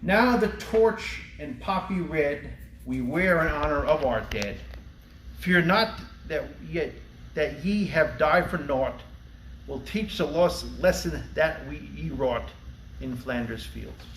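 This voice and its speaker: A man reading poetry aloud and briefly introducing it, with a measured, solemn delivery. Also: a little high, lively intonation, fairly bright tone. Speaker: librarian reading poems aloud. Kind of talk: poetry recitation with short spoken introductions. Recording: echoey room with faint background noise.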